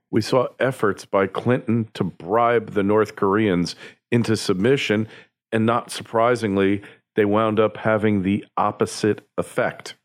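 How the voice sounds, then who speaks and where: Low-pitched man, American accent, political commentator, emphatic, opinionated monologue. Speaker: podcast host and political commentator.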